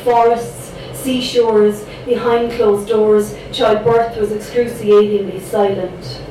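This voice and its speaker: A young woman with a Cork accent performs her poems in a rhythmic, dramatic style, with casual chatty asides between them. Her voice sits at a middle pitch. It echoes heavily through the room, picked up from a distance with noise behind it. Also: flat delivery, slow pace, mellow. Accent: Irish, Cork. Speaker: spoken word poet. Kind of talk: spoken word poetry performance.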